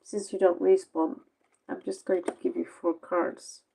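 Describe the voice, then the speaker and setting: A tired woman with a Norwegian accent, talking slowly through a late-night tarot reading. Her voice is gravelly with plenty of fry. She rambles bluntly and sarcastically in her second language. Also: fairly low pitch, level tone, warm tone, a little hiss or hum, low-quality recording. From Norway. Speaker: tarot reader.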